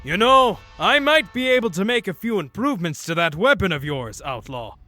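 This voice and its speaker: A man's voice: high voice, big pitch swings, normal speed, sharp tone, exaggerated voice. A man playing an earnest, vengeful Viking dragon hunter in a game fan dub, solemn and literal-minded. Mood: earnest, determined. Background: music.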